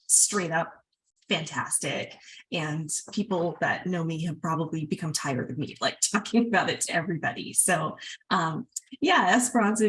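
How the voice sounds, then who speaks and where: A woman with a husky voice hosting a literary reading in a casual, appreciative way. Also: lowish voice, rough recording. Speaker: host of an online literary reading.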